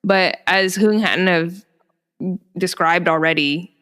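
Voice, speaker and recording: A woman's voice: fairly low pitch, slow pace, fairly bright tone, clear, resonant tone, in a large hall. A young adult woman co-hosting a podcast, chatting casually and laughing at herself. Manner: giggly, self-amused. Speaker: podcast co-host. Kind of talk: casual chat.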